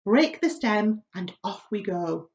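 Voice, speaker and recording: A kind, gentle lady ladybird from a storybook, played by a woman reader. She speaks with confiding assurance, in a slightly lo-fi recording. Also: mid-range voice, lively intonation, slow. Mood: explaining.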